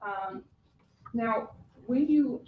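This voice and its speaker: A woman's voice: a little high, extremely slow, reverberant, narrow, tinny audio, noisy background. A woman defense attorney cross-examining a witness in a courtroom with pointed leading questions.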